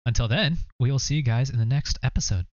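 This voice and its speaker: A young man co-hosting a casual reaction chat, explaining things in a relaxed way with lots of fillers. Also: medium pitch, fast, dry recording, highs cut off. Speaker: co-host.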